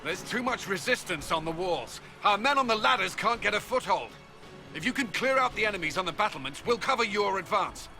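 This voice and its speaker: An actor playing a steady, dutiful army commander in a video game, giving clipped, serious military briefings. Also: male voice, high-pitched, brisk, music in the background. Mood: brisk briefing. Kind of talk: dramatic video game acting.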